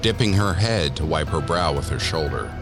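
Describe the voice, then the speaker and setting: A man with a gravelly voice narrating a scripted horror story in an ominous, deliberate manner. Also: very deep voice, lively intonation. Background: prominent music.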